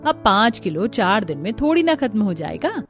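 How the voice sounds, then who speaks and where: A woman's voice: mid-range voice, big pitch swings, normal speed, faint music behind. A woman voicing a practical, reassuring older village mother-in-law who gives soothing advice in a Hindi cartoon story.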